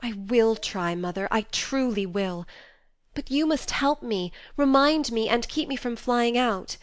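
A woman's voice: fairly high pitch, a little slow, no echo, pitched above the performer's own voice, lightly acted. An impassioned fifteen-year-old girl from a classic storybook, acted by a woman reader. She speaks earnestly and pleadingly in a raised, clean voice. The sound is close and dry. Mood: eager, pleading. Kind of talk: acted audiobook dialogue from a classic novel.

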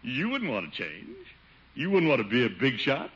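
An actor playing a pompous, sentimental middle-aged uncle with blustering, theatrical delivery in a 1950s radio sitcom. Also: male voice, medium pitch, huge pitch swings, normal speed, a bit bright, slightly rough, heavily acted. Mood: jovial, defiant. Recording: dull, noisy old radio recording.

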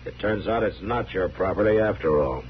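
An actor playing a stern, steady American frontier marshal in a radio western, deep and firm, giving terse, unhurried commands. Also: male voice. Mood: calm, unyielding. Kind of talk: 1950s radio western acting. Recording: muffled, noisy old 1950s radio recording.

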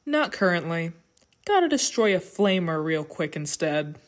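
Woman acting a slow, low-voiced bully. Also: sing-song. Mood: scornful. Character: scornful young woman gym bully, hostile and stubborn. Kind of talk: amateur audio drama acting.

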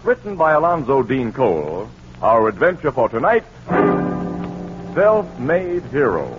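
A man's voice: mid-range voice, big pitch swings, unhurried. An American man announcing and narrating from a script in polished 1940s radio style. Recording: muffled 1940s radio broadcast, music behind.

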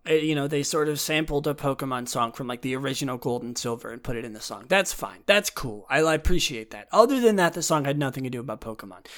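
A man with a raspy, worn-out throat hosting a casual solo episode. He rambles with frequent restarts and self-deprecating asides, and sometimes reads a written write-up aloud. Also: on the high side. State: hoarse, raspy throat from talking a lot. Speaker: podcast host.

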